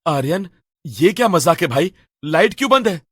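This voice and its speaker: A man's voice: high voice, sing-song, moderate pace, no room sound, clean studio sound. A man playing a frightened, loyal young friend, nervous and pleading, in a horror story. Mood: worried. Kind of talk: Hindi animated horror story acting.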